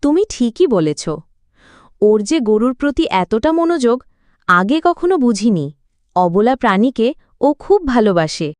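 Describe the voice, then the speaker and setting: A woman's voice: on the high side, very animated, measured pace, long pauses, clean tone, no room sound, clean studio sound. A woman voicing a caring, fondly exasperated village mother in a cartoon. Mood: warm approval. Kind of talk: cartoon dubbing.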